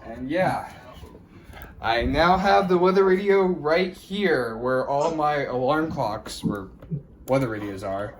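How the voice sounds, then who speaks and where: A man's voice: on the high side, expressive, slow pace. A man hosting a casual, rambling unboxing video in an informal way. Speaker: video host. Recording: noisy home recording with clicks.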